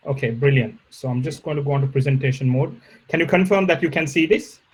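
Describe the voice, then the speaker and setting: A man from Sri Lanka, giving a polite, measured guest lecture over a video call. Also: mid-range voice, a little hiss or hum. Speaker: guest professor. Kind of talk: online lecture.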